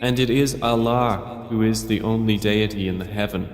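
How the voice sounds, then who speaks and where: A man with a lowish voice reads scripture in formal, deliberate phrases. The delivery is solemn and measured, and each phrase hangs in a long, echoing reverb. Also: fairly even intonation. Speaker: translation narrator. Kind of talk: solemn scripture reading of verse translation. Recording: echoey reverberant voice track.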